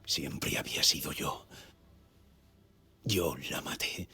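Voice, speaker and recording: An actor playing a tormented, guilt-ridden writer in a dramatic game story, brooding and haunted, desperate in temper. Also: male voice, on the low side, animated, measured pace, a bit bright, breathy edge, faint music behind, heavily acted. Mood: anguished, hushed. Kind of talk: dramatic scripted game acting and narration.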